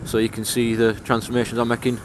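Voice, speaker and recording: A man's voice: medium pitch, monotone, fast talker. A young man in his late teens from England with a broad Yorkshire accent, chatting casually as he vlogs from his scooter outdoors. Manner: chatty, laid-back, laughs now and then. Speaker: motovlogger. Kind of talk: casual vlog chat while riding. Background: faint engine and traffic noise.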